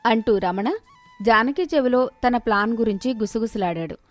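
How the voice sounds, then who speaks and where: A woman reads a scripted moral tale as its storyteller. Her voice is on the high side and expressive, set over soft background music. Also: moderate pace, no echo.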